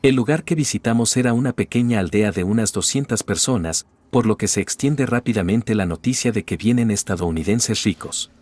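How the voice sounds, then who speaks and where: Low-voiced man reading stories aloud. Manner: even, steady reading of first-person stories. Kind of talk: scripted narration.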